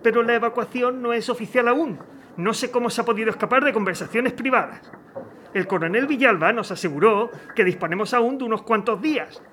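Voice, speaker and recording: A man's voice: very high voice, pushed and bright, slight background noise. A man playing a formal, weary and dutiful civil governor under strain in an audio drama. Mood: firm, placating. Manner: formal, measured authority, hesitant.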